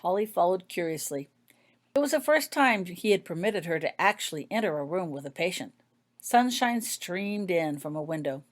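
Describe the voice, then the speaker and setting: A woman's voice: lowish voice, expressive, normal speed. A woman reading her own fiction aloud as scripted story narration.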